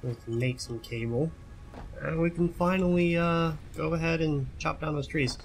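A man's voice: a little high, moderate pace, gravelly, slight background noise. A young man giving casual tutorial commentary over gameplay, chatty, often repeating words and asking "right?". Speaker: gaming YouTuber.

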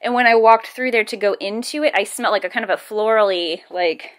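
A young adult woman hosting a casual exploring vlog, chatty with uneasy, hushed asides. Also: medium pitch, fairly quick. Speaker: vlog host exploring abandoned buildings. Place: abandoned house and its yard. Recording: noisy handheld camera audio with clicks.

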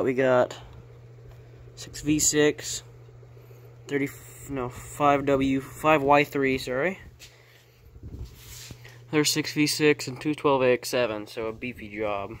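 Offhand man narrating over workshop noise. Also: medium pitch. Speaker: hobbyist electronics repairer showing equipment. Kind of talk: casual unscripted show-and-tell narration. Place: small cluttered indoor workshop at a bench. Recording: handheld camera audio with clear background noise.

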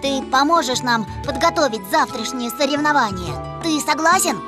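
A woman playing a kind, caring young ambulance robot in a Russian cartoon dub, expressive and reassuring. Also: high-pitched, moderate pace. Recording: music behind.

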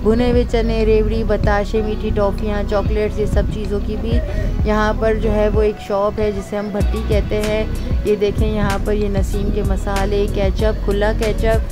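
A woman with a Pakistani Urdu accent narrates a shopping tour, naming item after item in a steady, even tone. Her voice stays flat and level throughout. Music plays clearly underneath. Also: medium pitch, normal speed. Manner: steady, listing items one by one. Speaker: vlogger.

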